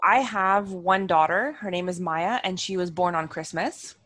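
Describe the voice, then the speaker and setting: A young woman from Montreal with an Italian-tinged accent, a guest parent on a video call, talking quickly and earnestly with a headset mic and lots of "like" and "um". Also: on the low side, a bit bright. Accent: Italian-tinged Montreal English. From Montreal, Canada. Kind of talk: casual video call chat. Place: home room, seated in a gaming chair.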